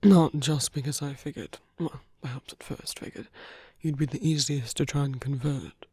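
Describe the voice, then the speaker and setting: A performer voicing a seductive, protective villain in an intimate romantic ASMR roleplay, gently coaxing in a halting, husky voice that often drops to a whisper. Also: mid-range voice, fairly even intonation, dry recording, clean studio sound. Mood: tender, coaxing. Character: seductive villain, protective, tenderly persuading an abandoned hero.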